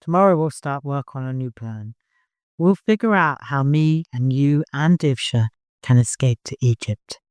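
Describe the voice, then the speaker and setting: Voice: medium pitch, measured pace, a little mellow, no room sound, close to an everyday voice. Narrator voicing a fierce, defiant heroine. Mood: grim, resolute. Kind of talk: audiobook character acting.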